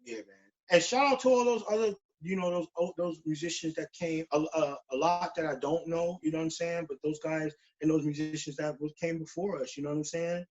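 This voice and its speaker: A man's voice: a little high, steady tone, a little fast, darkish tone. A forty-year-old man chatting casually as an interview guest in slangy speech, often checking in with "you know what I'm saying", on a dull lo-fi recording. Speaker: guest drummer. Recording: dull lo-fi call audio.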